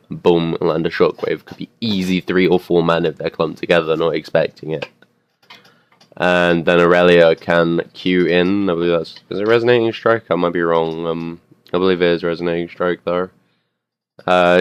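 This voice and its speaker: A young man commentating a custom game he also plays in, his voice a bit hoarse, rambling and correcting himself as he goes. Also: sits low, no room sound. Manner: rambling, unsure, self-correcting, filler-heavy.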